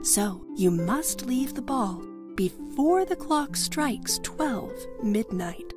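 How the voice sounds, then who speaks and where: A woman playing a gentle, motherly fairy godmother in a children's fairy tale, soothing and affectionate. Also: a little high, animated, slow, over music. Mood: kindly, reassuring.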